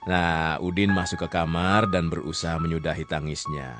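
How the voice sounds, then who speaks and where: A man narrating a radio story in a calm, measured way, with casual asides to the listener. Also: low voice, music in the background.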